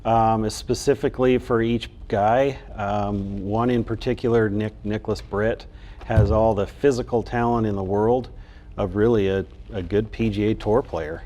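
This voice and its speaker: A man's voice: on the low side, fairly even intonation, unhurried, dark tone. A man speaking as a coach in a casual sports interview. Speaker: golf coach, interview guest. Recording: faint background noise.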